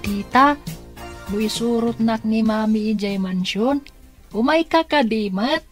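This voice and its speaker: Lady doing a young niece in a radio drama, filipino accent, coaxing, real slow, highish. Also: soft background music, lightly acted. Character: young niece chatting with her aunt.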